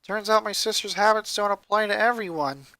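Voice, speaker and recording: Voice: medium pitch, a little fast, sharp tone, no echo, pitched above the performer's own voice, lightly acted, low-quality recording. A story reader voicing a hurt preteen boy who confides his grievances in a sullen way. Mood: bitter griping.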